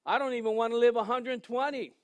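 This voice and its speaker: A man in his sixties preaching a sermon in a joking, conversational way, reading scripture aloud slowly between asides. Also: very high voice, steady tone, no room sound. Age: 60s. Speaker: pastor.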